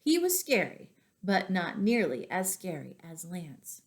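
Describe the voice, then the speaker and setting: A woman reading stories aloud to young children, expressive, pausing to ask them questions. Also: fairly low pitch. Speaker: children's storytime reader and teacher.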